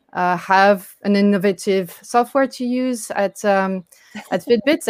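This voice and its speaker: A woman's voice: medium pitch, dry recording, clean studio sound. A woman from France with a French accent, answering interview questions as a startup founder on a video call, with many fillers and restarts. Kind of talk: online interview talk.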